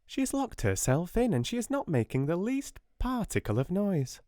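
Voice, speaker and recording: A man's voice: sits high, huge pitch swings, normal speed, mellow, dry recording, clean studio sound, pitched above the performer's own voice. A man voicing a timid, good-natured, flustered woman in a storybook, with a fussy, astonished manner.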